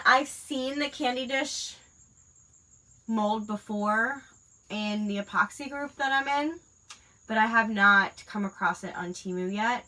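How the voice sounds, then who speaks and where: Crafting host, woman in her 30s, stuffy nose from a cold, crisp bright tone, chatty and rambling. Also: medium pitch, poor audio. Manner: rambling, many fillers. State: congested from a cold. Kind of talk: casual chat while crafting.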